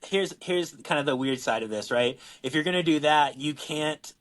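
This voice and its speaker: A man's voice: on the high side, moderate pace. Laid-back man, rambling podcast co-host. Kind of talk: casual podcast chat.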